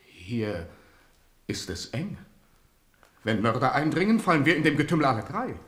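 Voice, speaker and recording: A man's voice: medium pitch, sing-song, normal speed, a bit bright. A man playing a suspicious, fearful and imperious king in a German radio play. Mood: anxious, impatient. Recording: roomy studio echo.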